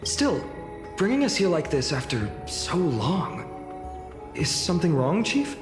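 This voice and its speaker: Lively, earnest young sword trainee. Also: female voice, on the low side, unhurried. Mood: concerned, earnest. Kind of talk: scripted game voice acting. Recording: echoing hall reverb, faint music.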